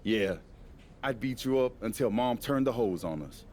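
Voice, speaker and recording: A man's voice: mid-range voice, expressive, moderate pace, darkish tone, close to an everyday voice. A man playing a guarded older-brother wrestler, speaking blunt American slang in a video game cutscene. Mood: challenging. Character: gruff, guarded big-brother wrestler with a grudge.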